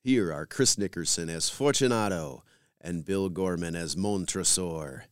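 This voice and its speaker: A man's voice: low voice, measured pace, no room sound, studio quality. A man with an American accent reading scripted introductions as a podcast host.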